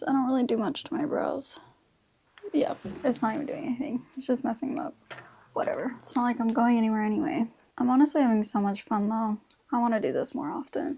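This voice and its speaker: Chatty girl in her 20s doing makeup vlog, slangy, soft-toned voice w lots of vocal fry. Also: fairly high pitch, slight background noise. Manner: informal, slangy speech with frequent "like". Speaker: beauty vlogger. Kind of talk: casual chatty makeup tutorial.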